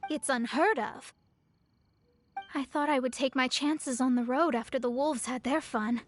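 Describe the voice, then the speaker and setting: Worried merchant woman, high voice, slight hesitation, faint hiss. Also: measured pace. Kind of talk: game voice acting.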